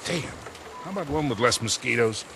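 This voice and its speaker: An actor playing a gruff, wisecracking, middle-aged treasure hunter in a video game cutscene, with a grumbling, salty manner. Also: male voice, mid-range voice, animated, a little fast, noisy background. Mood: wry.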